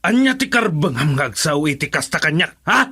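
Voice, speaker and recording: A man's voice: on the high side, very animated, brisk, breathy edge, full character voice. An actor with a Filipino accent playing an angry, domineering husband and father in a radio drama. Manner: forceful, confrontational.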